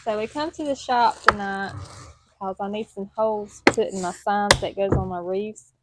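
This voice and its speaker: A middle-aged woman who talks casually to the camera in a soft, plain and hesitant way, with many ums. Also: mid-range voice, moderate pace, heavy background noise. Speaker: homestead vlogger.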